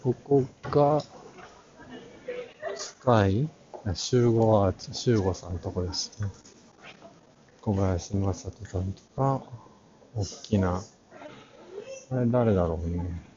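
A middle-aged man giving casual walk-through commentary for a vlog, halting and muttering asides to himself. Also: fairly low pitch, mellow. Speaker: art channel vlogger giving a walk-through. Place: large crowded indoor exhibition hall. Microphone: action camera at arm's length, face mask on. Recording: muffled audio in a busy crowded hall.

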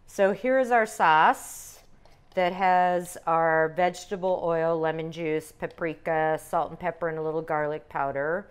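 An older woman calmly demonstrating recipes step by step as a cooking instructor in a teaching kitchen. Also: lowish voice, clear, resonant tone. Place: home-style teaching kitchen.

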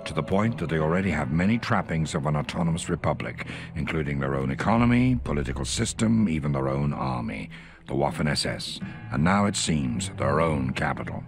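An actor playing a grave, wry Allied intelligence officer giving a measured, authoritative mission briefing in a war video game. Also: male voice, a bass voice, lively intonation, creaky, full character voice. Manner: measured, authoritative, dryly contemptuous of the enemy. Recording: music under the voice.

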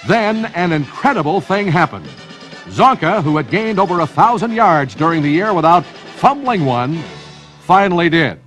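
Animated American male sports documentary narrator. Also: sits high, normal speed. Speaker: highlight film narrator. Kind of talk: scripted dramatic sports narration. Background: music.

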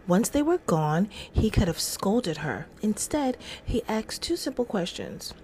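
A woman's voice: mid-range voice, very animated, measured pace, a little mellow, a little hiss or hum. A middle-aged woman hosting a casual devotional livestream, reading aloud and adding informal, chatty asides. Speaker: livestream host. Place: small indoor room at home.

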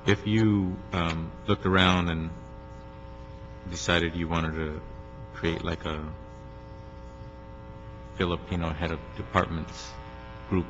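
Man, very low voice, halting questions, council member, dull sound with faint hum. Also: little pitch movement. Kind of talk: spontaneous questioning at a public meeting. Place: council meeting room.

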